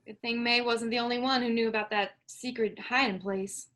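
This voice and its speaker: A woman's voice: fairly high pitch, fairly even intonation, normal speed, a bit bright, natural voice. A woman playing a devoted, practical grown daughter of a farm family in a staged play reading.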